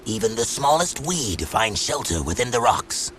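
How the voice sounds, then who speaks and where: A man's voice: medium pitch, sing-song, moderate pace, fairly bright tone. An actor playing a proud, wise exiled desert king in a video game, speaking like an orator. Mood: stately encouragement. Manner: stately, oratorical. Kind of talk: dramatic video game acting. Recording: music behind the voice. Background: music.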